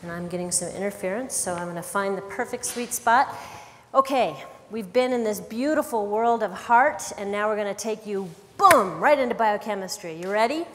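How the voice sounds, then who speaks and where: A woman naturopathic doctor giving a lively health lecture, talking directly to the audience. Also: medium pitch, moderate pace, big-room sound, slight background noise. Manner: lively, engaging, talks to the audience. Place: conference hall. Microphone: wireless microphone.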